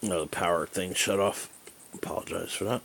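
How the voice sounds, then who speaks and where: A man with a fairly low voice talks casually through a product review. He is halting and hesitant, searching for his words without a script. The home recording carries clear background noise. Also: steady tone, fairly bright tone. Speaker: amateur radio reviewer. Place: indoors at home.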